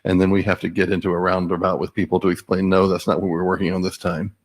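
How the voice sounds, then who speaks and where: A man speaking at a technical meeting, giving measured, explanatory comments. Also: low voice, little pitch movement. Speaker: meeting participant at the microphone. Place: standards meeting room.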